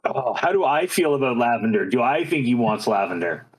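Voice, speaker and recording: A man's voice: medium pitch, big pitch swings, brisk, husky, poor audio. A man chatting casually and role-playing as a player in a tabletop game.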